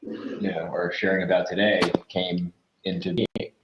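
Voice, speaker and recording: A man's voice: low voice, little pitch movement, measured pace, vocal fry, background noise. A man who works as a voice actor, chatting casually as an interview guest. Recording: echoey room, dull lo-fi audio.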